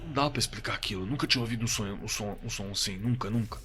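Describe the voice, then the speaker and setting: An actor playing a shaken Brazilian man giving first-person horror testimony in an audio drama, halting, repeating words and swearing colloquially. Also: lowish voice, sharp tone, slight background noise. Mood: tense, urgent. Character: shaken man recounting a terrifying camping encounter. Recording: suspense music under the voice.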